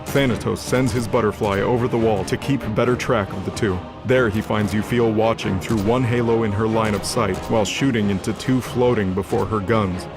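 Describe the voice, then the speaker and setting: A man's voice: on the low side, fairly even intonation, moderate pace, few pauses. A man narrating a scripted fantasy audio drama in a dramatic storytelling style. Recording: loud music under the voice.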